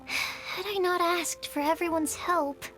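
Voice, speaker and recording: Very high, timid young woman. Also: steady tone, a little slow, a bit bright, faint music behind. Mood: concerned, apologetic. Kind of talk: anime-style game voice acting.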